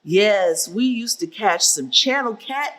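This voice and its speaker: Slow, bright-voiced fearless country woman played by an actress. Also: mid-range voice, lively intonation. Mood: proud, nostalgic. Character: fearless older country woman recalling hunting and fishing. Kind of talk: staged reading of oral history recollections.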